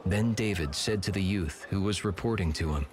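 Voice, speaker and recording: A man narrating scripture from a script in a measured, solemn manner. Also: low-pitched. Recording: clearly audible background noise and ambience.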